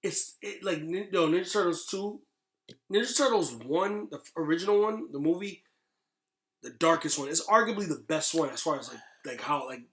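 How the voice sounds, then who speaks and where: A man's voice: on the high side, lively intonation, moderate pace, fairly bright tone. A man in a casual podcast chat, slangy and agreeable, restating his points as he goes. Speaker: podcast guest. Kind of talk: casual podcast chat about movies and games.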